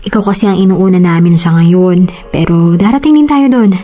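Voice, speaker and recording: A woman's voice: fairly low pitch, fairly quick, close to an everyday voice. A woman playing a tender, playful young mother in a radio drama, affectionate and coaxing, slipping in English phrases. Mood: reassuring. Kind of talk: Tagalog radio drama acting. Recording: slightly dull audio.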